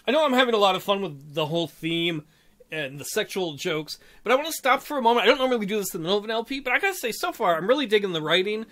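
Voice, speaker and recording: A man's voice: high voice, sing-song, rapid-fire, a bit bright. A man hosting a let's play, reading a visual novel aloud and adding jokey, theatrical commentary. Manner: jokey, theatrical, breaks into silly mock voices. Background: game music.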